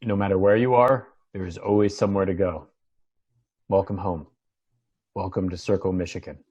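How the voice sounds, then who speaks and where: Male actor as earnest, troubled doctor for TV drama; lowish husky voice, understated, reflective reading. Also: steady tone, normal speed, darkish tone. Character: Muff, earnest, troubled doctor seeking a new home. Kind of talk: table read of a TV drama.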